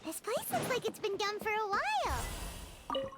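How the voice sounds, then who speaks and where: A woman voicing a small, childlike, chirpy and inquisitive floating companion in a video game, with a squeaky delivery. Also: sing-song, unhurried, exaggerated voice. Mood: curious. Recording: game audio with loud music.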